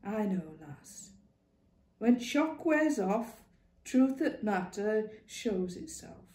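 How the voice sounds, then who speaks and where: A woman performs a shrewd old matron, loud, blunt and worldly, with earthy dialect and a knowing tone. Her lively voice swings up and down and lands in a roomy, echoing space. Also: mid-range voice, extremely slow, voice pushed up. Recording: roomy echo.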